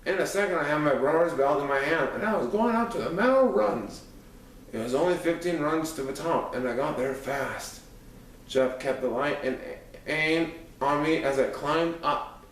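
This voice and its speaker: A man's voice: a little high, expressive, normal speed, a little hiss or hum. A man reading a first-person story aloud as a scripted narration. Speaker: audiobook narrator. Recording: echoey room, far from microphone.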